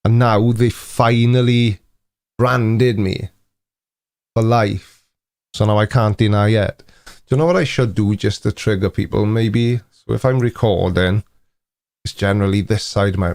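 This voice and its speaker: A man's voice: fairly low pitch, darkish tone, clean tone, dry recording. A man in his forties with a Welsh accent, hosting a casual live stream chat in a dry, teasing, mock-indignant manner. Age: 40s.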